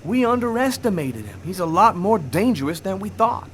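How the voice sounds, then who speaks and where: An actor playing an earnest, plain-spoken police detective in a video game drama, talking emphatically. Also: male voice, high voice, sing-song, normal speed, slight background noise. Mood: defensive.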